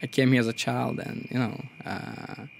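A man's voice: mid-range voice, fairly even intonation, normal speed, gravelly, slight background noise. A man in his twenties from Brazil, a guest chatting casually on a podcast in a radio studio, laughing often and filling his talk with casual asides. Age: 20s. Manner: easygoing, laughs often, many fillers like "you know".

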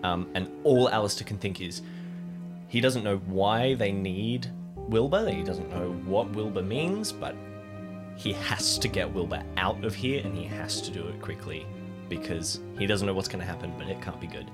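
Lowish-voiced man narrating over music. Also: lively intonation, normal speed. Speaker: role-play performer. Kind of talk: improvised third-person story narration.

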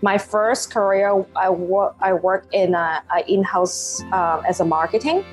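A woman in her thirties from Hong Kong, a Cantonese native speaker with a Hong Kong English accent, telling her story as a podcast guest in animated, laugh-filled talk. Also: medium pitch, measured pace, faint music behind, rough recording. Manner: animated, laughs often, non-native phrasing. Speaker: guest, life coach. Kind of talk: podcast interview.

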